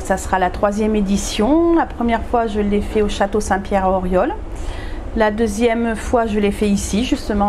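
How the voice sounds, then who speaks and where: A woman's voice: medium pitch, darkish tone, a little hiss or hum. A middle-aged woman who organizes photo events, answering interview questions enthusiastically and with lots of fillers, recorded on a lapel mic in a greenhouse. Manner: chatty, enthusiastic, with many fillers. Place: glass greenhouse, indoors.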